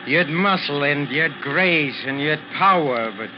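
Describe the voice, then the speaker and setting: A man plays an obsessive old boxing trainer in a vintage radio drama. He is effusive, pleading and theatrical, speaking at a medium pitch. The recording is dull and clearly noisy. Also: lively intonation, measured pace, natural voice. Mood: bitter, unhinged. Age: older. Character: obsessive, needy older boxing trainer called Maestro.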